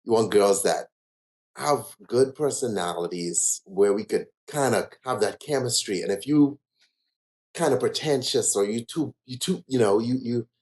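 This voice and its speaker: A man's voice: mid-range voice, unhurried, a little mellow. A man from the Bahamas with a Bahamian accent, chatting as a guest bartender in a laid-back, rambling way. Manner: laid-back, rambling, lots of "you know". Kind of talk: casual interview chat.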